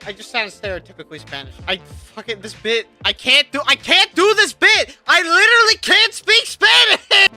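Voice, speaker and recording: An excitable man with a high, very bright and sharp voice, calling a wrestling video game with lots of swearing and laughter. He drops to quick mumbles, then jumps up to near yells. Faint game music plays underneath. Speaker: gaming YouTuber. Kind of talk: casual wrestling game commentary.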